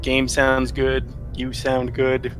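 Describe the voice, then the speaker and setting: Dude in stream voice chat, average pitch, casual, faint music. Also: male voice, monotone, slow pace. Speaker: guest on a game stream voice chat.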